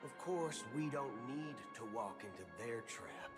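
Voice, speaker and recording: An actor voicing a swaggering, wary space cowboy outlaw in a video game, with a theatrical, colloquial drawl. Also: male voice, mid-range voice, lively intonation, measured pace, lightly acted. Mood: confident, sly. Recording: loud game music under voice.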